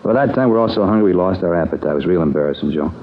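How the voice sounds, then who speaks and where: An American actor playing a henpecked married police detective in a 1950s radio drama, talking in a folksy, chatty, dryly complaining way. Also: male voice, low voice, lively intonation, very fast. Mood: glum grumbling. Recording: dull vintage radio, faint noise.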